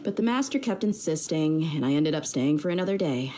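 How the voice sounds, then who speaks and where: A woman's voice: sits low, lively intonation, a little fast, mellow, slight background noise. A woman playing an easygoing, loyal young female mercenary leader in a video game, speaking in a casual, frank, slangy way. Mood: relaxed, friendly.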